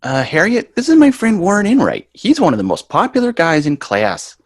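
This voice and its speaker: A man's voice: high-pitched, wildly swooping pitch, brisk, dry recording, clean studio sound, heavily acted. A man voicing a sheepish, apologetic schoolboy son in an amateur sitcom table read, earnest and wry. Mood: wry, encouraging.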